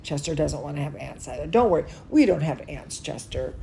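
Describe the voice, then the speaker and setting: A woman telling bedtime stories and chatting cozily to young children in an expressive, soothing, singsong manner. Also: low-pitched, brisk, vocal fry, slight background noise. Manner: chats playfully to a pet or companion. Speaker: dance studio teacher reading bedtime stories to children. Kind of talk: children's bedtime storytelling and cozy chat.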